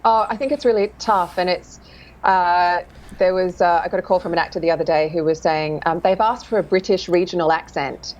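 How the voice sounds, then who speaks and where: A woman, a dialect coach talking through her work in a relaxed interview, thoughtful and animated with dry self-deprecating humour. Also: fairly low pitch, fairly quick, low-quality recording. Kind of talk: casual interview chat.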